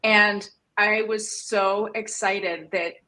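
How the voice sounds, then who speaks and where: A woman's voice: medium pitch, steady tone, takes it slowly, sharp tone. A woman speaking casually as a panelist, sharing her opinions in a fan discussion.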